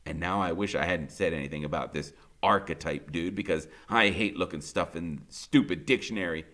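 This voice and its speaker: A man reading a children's novel aloud in the first person, like a teacher, expressive and colloquial. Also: deep voice, moderate pace.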